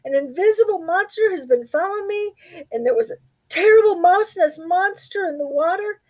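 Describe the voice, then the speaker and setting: A woman voicing a small, frightened young boy mouse from a children's storybook, with squeaky, panicky, wailing exclamations. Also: animated, normal speed, a little mellow, poor audio. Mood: wailing, upset.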